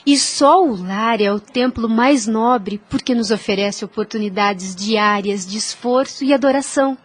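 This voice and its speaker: A high-pitched, gentle and devout young woman played by an actress in an audio drama. She speaks formally and earnestly, like a teacher of faith, in measured phrases.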